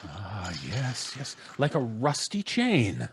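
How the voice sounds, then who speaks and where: A man's voice: mid-range voice, huge pitch swings, takes it slowly, a little hiss or hum. An actor playing a grumbling, stammering, sceptical father in an old-time radio comedy. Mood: uneasy, grumbling. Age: middle-aged. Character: blustering, practical, sceptical husband and father. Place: small home studio on a video call. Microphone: close studio microphone.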